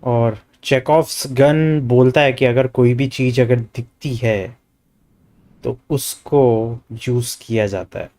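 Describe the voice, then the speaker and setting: A man's voice: medium pitch, rapid-fire, mellow. A man with an Indian accent giving casual live commentary as a game streamer. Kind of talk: casual live gameplay commentary in Hindi mixed with English.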